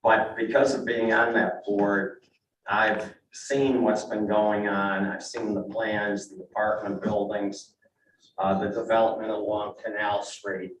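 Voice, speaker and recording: A man's voice: lowish voice, flat delivery, measured pace, slight background noise. An older man, a real estate broker, giving plain, conversational testimony at a zoning meeting. He is losing his voice. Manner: plain, conversational, with frequent "uh" and restarts. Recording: echoey council chamber, mic at a distance.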